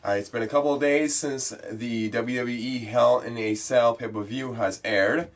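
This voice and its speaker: A man's voice: mid-range voice, steady tone, moderate pace. Young guy stuffed up with a cold, rambling chatty gaming vlog intro, lots of uhs, mid voice. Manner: chatty, loose, many fillers. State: congested from a cold. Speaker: gaming vlogger. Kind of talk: casual rambling intro to a stream.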